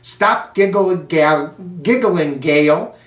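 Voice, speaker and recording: A stern, unsmiling older schoolteacher , a woman played by a man reading a storybook. The delivery is slow and measured, heard from a little distance in an echoey room. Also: on the high side, animated.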